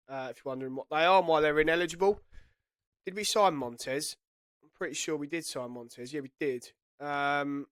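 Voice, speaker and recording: A man's voice: fairly high pitch, sharp tone, no room sound. Man with a head cold, chatty game commentary. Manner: chatty, self-correcting, muttering asides. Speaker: gaming YouTuber. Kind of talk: casual gameplay commentary.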